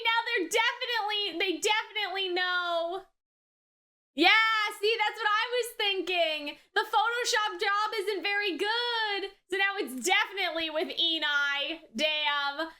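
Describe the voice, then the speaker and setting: A woman giving casual, excited reaction commentary as a video host, expressive and slangy in the way she talks. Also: extremely high pitch. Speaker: host of an anime reaction channel.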